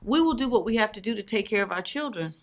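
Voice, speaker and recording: A woman's voice: medium pitch, expressive, fast. A woman on a talk show panel giving her opinion conversationally, making emphatic points in parallel phrases.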